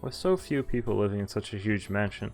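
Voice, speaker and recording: A man's voice: fairly low pitch, brisk, a little mellow. A man playing through a visual novel, reading the text aloud and commenting in a wry, conversational way. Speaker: streamer. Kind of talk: casual game walkthrough, reading dialogue aloud and commenting. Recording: game music behind the voice.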